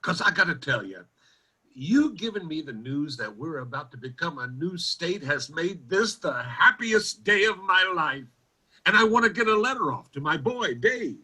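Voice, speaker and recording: A man's voice: a little high, very animated, normal speed, pushed and bright, slightly rough, heavily acted, low-quality recording. A man playing a folksy, bewildered nineteenth-century general store owner in a radio drama, with plain-spoken country talk. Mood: curious, eager. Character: old-time West Virginia general store proprietor from the 1800s, folksy, bewildered. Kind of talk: old-time radio drama acting.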